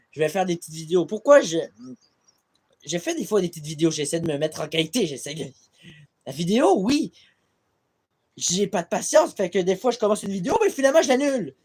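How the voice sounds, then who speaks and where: A man's voice: sits high, sing-song, fairly quick, husky. A young man from Quebec rambles through a casual vlog into his tablet's built-in mic. He drifts from one gripe to the next, swearing freely and running himself down. He sounds loose and unscripted throughout. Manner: rambling, swears a lot, self-deprecating. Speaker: vlogger. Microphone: tablet camera mic.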